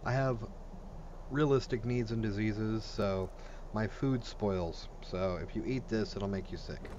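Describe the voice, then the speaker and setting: A man's voice: fairly low pitch, a little slow, muffled recording. Casual gamer guy over noisy game audio. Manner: informal, crude, thinking aloud. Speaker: gaming video host. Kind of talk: casual let's play commentary. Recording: game ambience and noise behind voice. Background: video game sounds.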